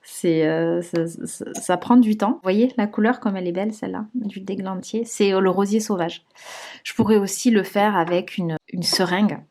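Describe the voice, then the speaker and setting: A woman's voice: medium pitch, normal speed, darkish tone. A young woman talking casually to her vlog viewers, with many fillers and restarts and a blocked, congested nose. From France. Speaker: vlogger. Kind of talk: casual vlog chat to camera.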